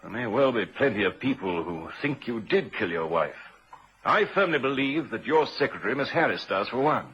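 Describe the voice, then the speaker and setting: An actor playing a shrewd, unhurried police inspector in an old-time radio drama, a man with a measured, probing, quietly assured manner. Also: medium pitch, lively intonation, a little hiss or hum, lightly acted. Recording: muffled vintage radio recording.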